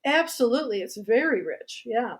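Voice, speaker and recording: A woman with a fairly high voice speaks as an interview guest. She is chatty and expansive, explaining things in a casual, conversational way. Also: lively intonation, moderate pace. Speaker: guest, mystery author and writing teacher.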